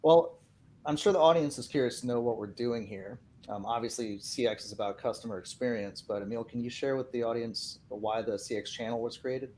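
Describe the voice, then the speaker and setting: Podcast host, male, mature, mid-pitched voice, conversational delivery, slight low noise on the recording. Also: fairly quick, a little mellow.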